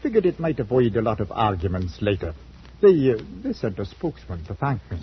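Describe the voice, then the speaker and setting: A man's voice: fairly low pitch, sing-song, slight background noise. An actor, a man, playing a stolid, fair-minded ship's captain who is plain-spoken and understated in an old-time radio drama. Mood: mild, matter-of-fact. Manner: understated, plain-spoken, hesitant with small pauses. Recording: muffled vintage radio recording with music.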